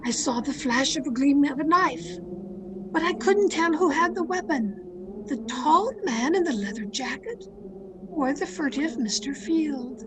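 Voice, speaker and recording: Woman acting a high-voiced, intense radio suspense heroine. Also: animated, unhurried, background noise. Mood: excited, suspenseful. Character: thrill-seeking young woman narrating her murder tale. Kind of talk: 1940s radio suspense acting, scripted first-person narration. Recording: video-call radio play with music underneath. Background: dramatic music.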